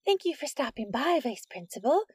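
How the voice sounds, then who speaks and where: Woman playing a flustered female teacher, high and fluttery, placating, dry sound. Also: very animated, a little fast, a little mellow, no room sound. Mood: nervous. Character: flustered, nervous female schoolteacher.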